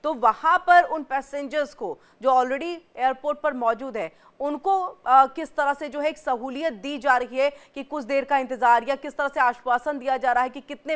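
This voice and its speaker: A woman's voice: high-pitched, smooth. A woman anchoring live breaking news in a wordy, rambling way.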